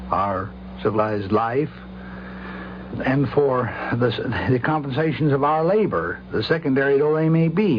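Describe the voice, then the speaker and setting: A man giving reflective commentary as a guest doctor, in formal wording with a hesitant, halting delivery, on an old radio recording. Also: mid-range voice. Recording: noisy, humming, dull old radio recording.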